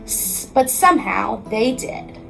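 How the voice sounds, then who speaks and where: An American woman, a teacher, reading a children's storybook aloud with expressive narration. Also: on the high side, slow pace, creaky, rough recording.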